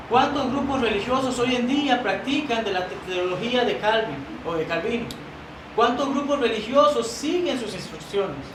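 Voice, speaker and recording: A man preaching a sermon in a church, earnest and emphatic, reading quoted doctrine aloud and stumbling into restarts now and then. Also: very high-pitched. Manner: earnest, rising to emphatic repetition. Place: church sanctuary. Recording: roomy church sound, mic at a distance, noisy.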